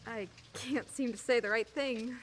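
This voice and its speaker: A woman's voice: fairly high pitch, normal speed, a bit bright, slight background noise, close to an everyday voice. A woman playing a chatty, self-mocking divorced neighbor in a radio play, laughing often as she talks. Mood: friendly.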